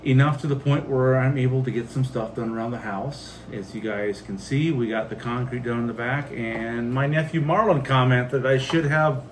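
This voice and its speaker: A man's voice: mid-range voice, moderate pace, few pauses, low-quality recording. An American man hosting a homestead vlog, chatting casually and reading out viewer comments. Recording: steady background noise.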